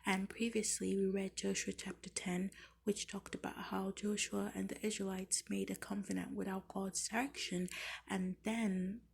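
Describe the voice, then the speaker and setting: A young woman hosting a daily Bible chapter series, talking through the reading in a casual, soft, half-whispered way with a low voice. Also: moderate pace, slight background noise. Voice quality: low, husky, gravelly voice. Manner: soft, half-whispered, flat delivery with fillers. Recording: low-quality home recording.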